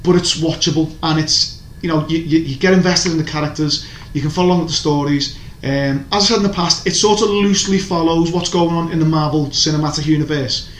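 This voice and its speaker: A man's voice: a little high, fairly even intonation, fairly quick, hardly any pauses. A man chatting casually as a podcast co-host, rambling and opinionated, with lots of restarts. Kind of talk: casual chat reviewing TV shows. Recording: echoey room, faint background noise.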